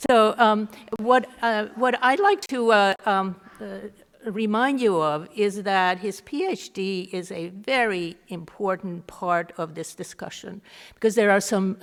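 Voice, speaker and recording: A woman moderating a panel who speaks in a halting, filler-heavy way as she introduces the next speaker. Her voice is mid-pitched, and a long hall reverb trails her words.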